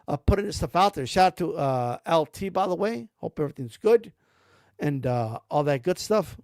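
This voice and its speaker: A man's voice: on the high side, animated, moderate pace, darkish tone, no room sound, studio quality. A man giving blunt, rambling commentary about boxing, full of slang and cursing. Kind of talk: casual ranting commentary.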